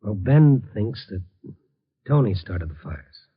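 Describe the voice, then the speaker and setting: An American actor playing a tough but kindly middle-aged reporter in 1950s radio noir, moving between terse narration and hesitant, gentle soothing. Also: male voice, fairly low pitch, lively intonation, takes it slowly, husky, exaggerated voice. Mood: gentle, cautious. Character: tough but kindly male night-beat reporter, troubled. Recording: muffled old radio recording.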